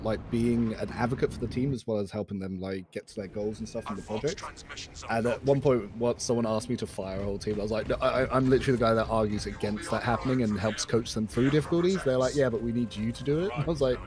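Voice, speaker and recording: A man's voice: lowish voice, quick pace. Man telling work stories, noisy mix. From England. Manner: animated storytelling, acting out quotes with laughs. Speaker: stream guest. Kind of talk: casual gaming chat. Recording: background noise under voice.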